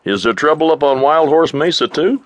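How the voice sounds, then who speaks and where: An actor playing a big, talkative livery stable owner in a western audio drama, with a folksy, colloquial way of talking. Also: male voice, a little high, animated, fairly quick, close to an everyday voice. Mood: curious, gossipy.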